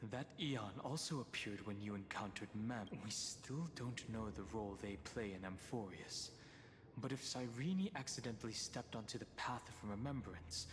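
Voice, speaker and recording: An actor playing a serious, analytical investigator and guide in a video game, speaking in measured exposition. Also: male voice, on the low side, lively intonation, in a large hall, a little hiss or hum, close to an everyday voice. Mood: grave, analytical. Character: grave, analytical male investigator and protective guide. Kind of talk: scripted video game acting.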